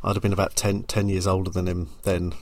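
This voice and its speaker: A man's voice: low voice, little pitch movement, quick pace, dry recording. Chatty male podcast host. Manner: chatty, rambling questions. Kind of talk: casual interview.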